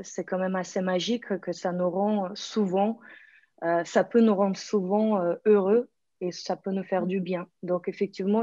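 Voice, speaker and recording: A woman from Kazakhstan, a guest artist, answering press questions on a video call in a polite, measured way. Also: on the low side, warm tone, no room sound, dull audio. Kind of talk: online press conference answers. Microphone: video call mic.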